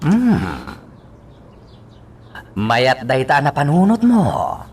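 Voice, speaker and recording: A man's voice: mid-range voice, wildly swooping pitch, extremely slow, slight background noise, full character voice. A man playing a sinister, calculating accomplice to a murder plot in a radio drama. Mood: pleased, sinister. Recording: loud music behind the voice.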